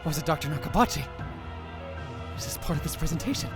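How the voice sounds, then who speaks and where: A man's voice: a little high, lively intonation, fast talker. A man playing an anxious, dramatic young conspiracy theorist who narrates a visual novel in a theatrical, self-questioning way. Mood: stunned, puzzled. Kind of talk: first-person visual novel narration, acted. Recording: music bed under the voice. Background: background music.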